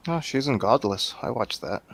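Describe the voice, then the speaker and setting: A man's voice: mid-range voice, animated, normal speed, vocal fry, fairly bright tone. A man chatting casually as a guest on a panel discussion.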